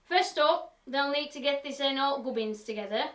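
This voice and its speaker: Woman, broad Yorkshire, higher voice, chatty self-mocking demo host; roomy workshop, off-mic. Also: normal speed, a bit bright. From Yorkshire, England. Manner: chatty, self-deprecating, talks through what she is doing. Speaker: woodturner hosting a live demo. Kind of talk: chatty live-stream demonstration. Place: home workshop. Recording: echoey workshop, voice from a distance.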